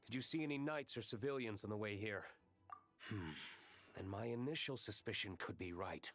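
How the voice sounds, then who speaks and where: A serious, pragmatic warrior of noble bearing speaks in a low, measured voice. He stays composed and wary, as in a fantasy video game, with faint music behind him. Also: fairly bright tone. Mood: grave, composed. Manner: measured, grave. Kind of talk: video game character acting.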